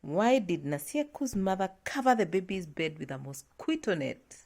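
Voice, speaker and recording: Woman, parent-teacher role, slightly low register with plenty of vocal fry, casual and encouraging, attentive prompts. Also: sing-song, normal speed, darkish tone. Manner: attentive, encouraging, often murmuring agreement. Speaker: parent teaching a child at home. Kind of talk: casual encouraging read-along prompting and questioning.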